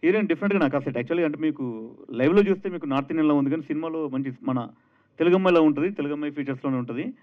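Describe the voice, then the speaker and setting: A man's voice: on the high side, rapid-fire. A man, a film director explaining things informally at a press event, often building lists of repeated phrases. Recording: tinny, narrow-band event sound.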